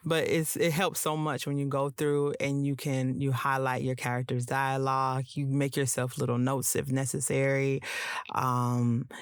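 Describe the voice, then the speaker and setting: A woman hosting a podcast interview in a casual chat, with a breathy, calming voice and a slight lisp. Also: rumbling low, normal speed, no room sound, clean studio sound. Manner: calm, soothing delivery. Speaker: podcast host and audiobook narrator.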